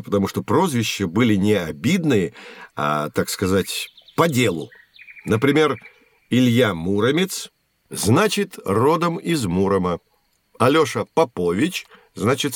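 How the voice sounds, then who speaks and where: An actor playing a patient, knowledgeable man teaching children, explaining things like a storyteller in scripted educational narration. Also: mid-range voice. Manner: explanatory, storytelling, clearly phrased.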